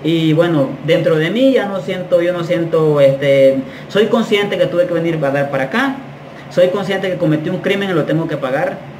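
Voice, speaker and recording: A man's voice: a little high, moderate pace, a little mellow. A man in his thirties telling his story to camera in a casual, slangy, frank way, gesturing a lot as he talks in a small bare tiled room. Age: 30s. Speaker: vlogger. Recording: echoey room, faint background noise.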